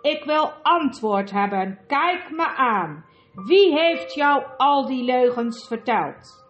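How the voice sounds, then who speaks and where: A harsh, booming king with a cold, commanding edge, put on by a woman reading aloud. He scolds slowly and loudly, his voice slightly raised. The recording is dull and lo-fi, with faint music underneath. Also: expressive. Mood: stern. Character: stern, cold-hearted king, a grown man.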